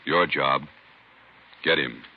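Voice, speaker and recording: A man's voice: extremely low pitch, slow pace, very noisy. A man announcing a 1940s radio crime drama in a grave, clipped, dramatic manner. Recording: muffled old radio, loud brass music.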